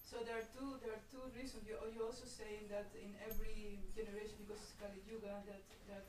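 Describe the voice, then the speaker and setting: A woman from Chile speaking up from the audience, halting and restarting as she searches for words. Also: medium pitch, level tone. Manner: hesitant, halting, many restarts. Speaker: audience member asking a question. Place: meeting room. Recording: echoey room from far off, noisy.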